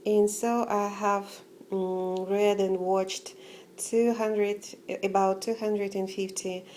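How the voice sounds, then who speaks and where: A woman with a Russian accent, talking through a casual home vlog with many pauses, fillers and restarts. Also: medium pitch, flat delivery, darkish tone, a little hiss or hum. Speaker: orchid-growing vlogger.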